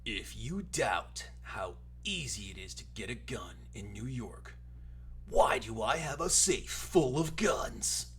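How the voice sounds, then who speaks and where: A man voicing a melodramatic, indignant online arguer in a theatrical, breathy dramatic reading. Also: mid-range voice, big pitch swings, a bit bright, heavily acted. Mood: accusing. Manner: slow, theatrical, breathy whispering. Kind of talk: dramatic reading of social media posts. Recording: noisy recording with clear hum.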